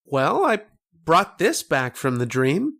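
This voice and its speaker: A man playing a dreamy, insistent young boy in an old-time radio drama, speaking in a halting, earnest, childlike way. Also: a little high, wildly swooping pitch, gravelly, fairly bright tone, exaggerated voice.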